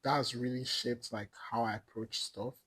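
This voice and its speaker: A man's voice: mid-range voice, steady tone, moderate pace, fairly bright tone, slightly rough. A young Nigerian man with an Igbo accent, a podcast guest telling stories in rambling casual chat and laughing often.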